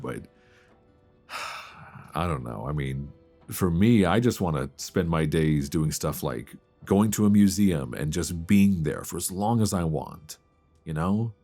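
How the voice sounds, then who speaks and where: A very deep, creaky-voiced young man played by a male actor confides like a homesick old friend hiding a crush. His delivery is hesitant yet expressive, with plenty of movement in the pitch. It is an intimate romantic audio roleplay, captured close on a binaural mic. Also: exaggerated voice. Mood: thoughtful, earnest. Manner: hesitant, confiding, conversational with sighs. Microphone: close binaural microphone. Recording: close binaural recording with soft guitar music.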